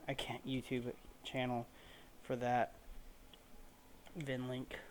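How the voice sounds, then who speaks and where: A man hosts a gaming video with casual, off-the-cuff commentary. His voice sits at an average pitch and his phrasing is a bit halting. There is faint noise in the home recording. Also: monotone. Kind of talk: casual let's-play commentary.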